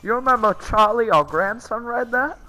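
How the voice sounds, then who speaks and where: A man's voice: extremely high pitch, lively intonation, moderate pace, sharp tone, pitched above the performer's own voice, full character voice, rough recording. A man voicing a naive elderly grandparent chatting fondly to their spouse in a casual vlog skit. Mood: fondly clueless.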